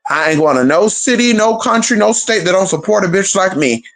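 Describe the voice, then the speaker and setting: A woman's voice: mid-range voice, a little fast. A woman chatting casually on a livestream, sassy and profane, calling her viewers honey and girl. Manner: animated, talks straight to viewers.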